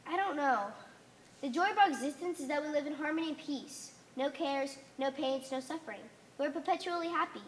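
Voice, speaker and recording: A woman's voice: sits high, brisk, slight background noise, lightly acted, poor audio. A young woman playing a stern, solemn religious leader in an amateur stage play, speaking in commanding, declamatory tones. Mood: solemn, preaching. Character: stern authoritarian female religious leader of a conformist society. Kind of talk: amateur one-act stage play acting. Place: theater stage.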